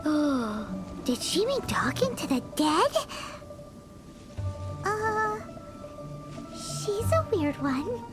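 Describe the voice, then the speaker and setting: A tiny floating fairy companion from a game chatters in a very high, squeaky voice. She talks slowly, her pitch swooping up and down. Clear game music plays behind her. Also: very animated, exaggerated voice. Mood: puzzled. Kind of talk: game voice acting.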